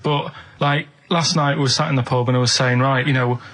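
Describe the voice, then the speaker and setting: Halting, matter-of-fact man telling a story. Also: medium pitch, steady tone, fairly bright tone. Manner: halting, matter-of-fact, vague storytelling full of tags like "right?". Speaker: radio show co-host telling odd stories. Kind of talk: casual rambling anecdote.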